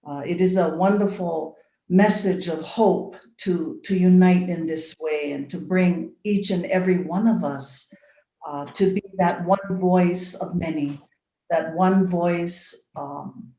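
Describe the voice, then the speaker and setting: An older woman from Hawaii speaks very slowly and soft, in a meditative, prayer-like way. Her voice is warm and mellow. It sounds echoey, like a room heard over a video call. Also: on the low side. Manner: soft and meditative, with drawn-out pauses and repeated phrases. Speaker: invited speaker. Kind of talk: slow, prayerful spoken blessing.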